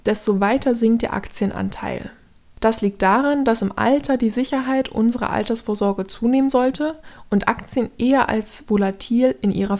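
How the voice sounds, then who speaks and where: A woman's voice: a little high, gravelly, a little mellow. A woman aged thirty giving scripted explanatory narration as a podcast host, instructing the listener directly in a structured way.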